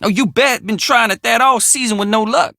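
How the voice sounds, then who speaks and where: An actor playing a folksy, earnest, excitable young country handyman in a video game, with an American Southern accent and a colloquial drawl. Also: very high-pitched, fairly quick, gravelly, no echo, studio quality, exaggerated voice. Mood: friendly, upbeat. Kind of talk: video game character dialogue.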